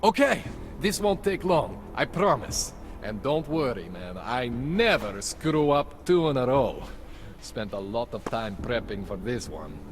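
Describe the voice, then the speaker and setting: An actor playing an excitable, boastful male augmentation doctor in a video game cutscene. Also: mid-range voice, unhurried, slight background noise. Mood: eager. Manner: eager, boastful, joking. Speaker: tech specialist fixing implants.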